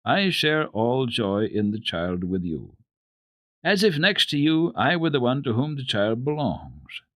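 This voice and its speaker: Man acting an earnest ageing doctor, emphatic and animated. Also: mid-range voice, a little slow, dry recording, voice pushed up. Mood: earnest, heartfelt. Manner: emphatic, heartfelt, formal. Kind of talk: dramatic children's storybook reading.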